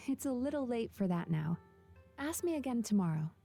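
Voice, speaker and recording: Mellow, gentle young dream spirit. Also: female voice, on the high side, sing-song, faint music behind. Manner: wistful, measured, gentle. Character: gentle, reflective young female dream-eating spirit psychologist. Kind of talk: anime video game voice acting.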